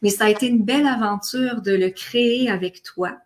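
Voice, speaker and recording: A woman's voice: on the high side, moderate pace. A woman with a Québécois French accent, a guest chatting live about a game she co-created, hesitant with many fillers. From Québec. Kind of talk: casual live conversation.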